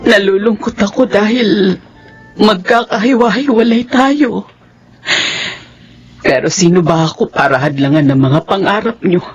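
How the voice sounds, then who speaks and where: An actor voicing a gentle, devout older stepmother in a radio drama, soft-spoken and pious. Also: high voice, unhurried, long pauses. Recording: dull lo-fi radio recording. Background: faint music.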